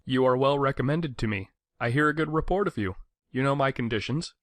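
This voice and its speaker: A male actor as a cool, exacting gentleman of the house in an audiobook drama. He speaks formally and precisely in clipped phrases, at a medium pitch, recorded dry. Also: fairly quick, no echo, natural voice. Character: exacting, unemotional English gentleman master. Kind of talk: dramatized audiobook character dialogue.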